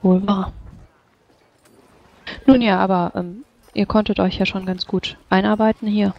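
Woman doing in-character fantasy rpg chat, lots of vocal fry, kinda muffled lo-fi audio. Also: mid-range voice, little pitch movement, measured pace, dry recording. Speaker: tabletop roleplay player in character. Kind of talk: improvised in-character fantasy roleplay dialogue. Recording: dull, lo-fi voice chat.

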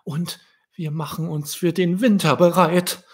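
A man's voice: fairly high pitch, steady tone, a little slow, darkish tone, voice pushed up. A man voicing an anxious, caring mother ladybug who solemnly warns her children in a children's storybook. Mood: instructing.

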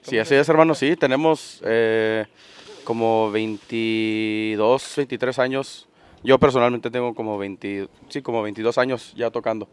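Easygoing accordion player chatting outdoors. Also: male voice, medium pitch, fairly even intonation, unhurried, smooth. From United States. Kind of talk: casual group interview. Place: outdoor garden patio. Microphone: stand mic.

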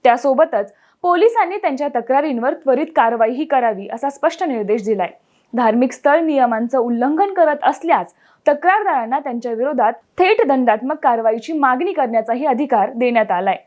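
A woman news presenter, reading a scripted news explainer in a clear, measured way. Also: high-pitched, animated, darkish tone.

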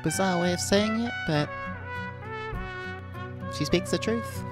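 A woman's voice: fairly low pitch, slow. A woman reading a visual novel's first-person narration aloud as a VTuber. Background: music.

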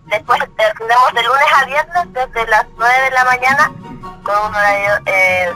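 Woman answering a complaint call, very bright piercing voice, some music behind. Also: a little high, normal speed, low-quality recording. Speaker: call recipient posing as company staff. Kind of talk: phone conversation.

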